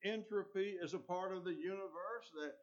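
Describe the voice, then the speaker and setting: A man's voice: high-pitched, normal speed. A man preaching a sermon with a scratchy voice, talking earnestly and often restarting his phrases. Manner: earnest, with many restarts and ums. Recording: dull lo-fi recording.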